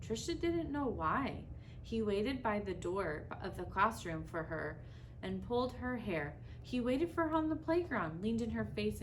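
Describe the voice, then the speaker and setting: A woman reading a children's story aloud expressively, with deliberate pauses and dramatic emphasis. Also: medium pitch, slight background noise, poor audio.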